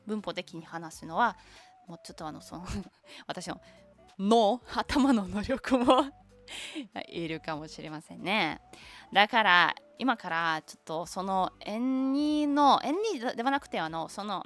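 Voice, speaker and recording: A young woman whose first language is Tatar, chatting casually on a stream, halting and searching for words, with many fillers. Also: mid-range voice, expressive, a bit bright, soft background music. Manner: switches between Japanese and English. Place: lives in Japan.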